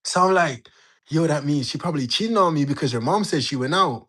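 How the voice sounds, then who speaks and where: Animated young man telling a story. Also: fairly high pitch, a little fast. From Jamaica. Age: young adult. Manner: animated, slangy, bantering with chat. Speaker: livestream host. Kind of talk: casual storytelling to live chat.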